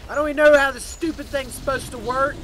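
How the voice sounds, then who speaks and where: A man's voice: extremely high pitch, fairly even intonation, fast, noisy background. A man improvising first-person video game roleplay in an emotional, dramatic way, repeating short exclamations. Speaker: gaming video creator.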